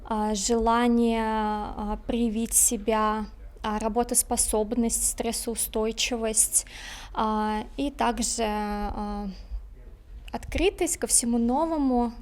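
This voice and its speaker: A woman giving an interview answer, halting as she searches for words. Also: fairly high pitch, fairly even intonation, a little mellow.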